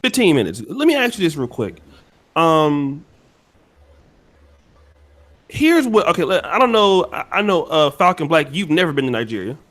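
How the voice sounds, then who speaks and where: A man's voice: on the high side, sing-song, moderate pace, long pauses, a bit bright. An American man hosting a casual podcast discussion, talking loosely with many restarts and fillers. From United States. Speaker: podcast host.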